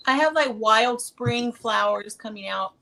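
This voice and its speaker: A woman hosting a casual craft chat with a high, lively voice, bubbly and teasing and quick to laugh. Loud hiss sits under her on the video call line. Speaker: craft show host.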